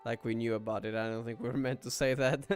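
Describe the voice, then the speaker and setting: A man chats casually as he reacts to a game, in a mid-pitched voice. He drops murmured asides and chuckles, with faint music behind him. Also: brisk. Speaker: gamer reacting to a story playthrough. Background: game dialogue and soft music.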